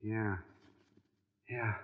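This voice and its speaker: An actor playing an earnest, wronged young American prisoner, weary and wary, in 1940s radio style. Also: male voice, lowish voice, flat delivery, extremely slow. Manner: earnest, tense dramatic delivery. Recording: muffled old radio recording, long hollow reverb.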